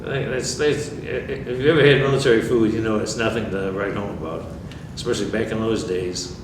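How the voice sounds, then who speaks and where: Older male veteran, low voice, measured and dry; roomy, distant, noisy recording. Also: steady tone. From New York State. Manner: plainspoken, dry humor, measured. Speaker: veteran interviewee. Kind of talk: oral history interview. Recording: echoey room, far mic, background noise.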